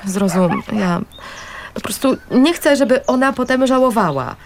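A woman's voice: a little high, animated, a little slow, a little hiss or hum. An actress playing an anxious middle-aged wife and mother in a naturalistic radio drama, defensive and sarcastic, with dry, sceptical retorts. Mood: defensive, irritated.

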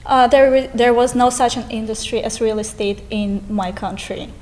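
A woman's voice: fairly high pitch, steady tone, moderate pace, darkish tone. A woman in her twenties with a Russian accent, a guest on a podcast, talking cheerfully and laughing often. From Eastern Europe. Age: 20s. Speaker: guest, real estate agent. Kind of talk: casual interview chat.

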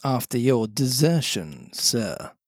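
Bloke doing a slow sly pirate leader, cheery n cajoling, fake polite, storybook voice. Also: male voice, mid-range voice, animated, darkish tone, dry recording, studio quality. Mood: slyly courteous. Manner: drawn-out, mock-respectful, cajoling.

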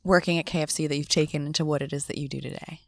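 Chatty low-voiced woman podcast host. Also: fairly even intonation, vocal fry, dry recording. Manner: upbeat, chatty, quick asides. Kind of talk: casual interview chat.

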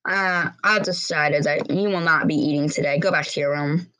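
A woman's voice: low voice, moderate pace, fairly bright tone. A woman voicing a harsh, cruel middle-aged father who speaks curtly and dismissively. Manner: curt, dismissive, barking orders.

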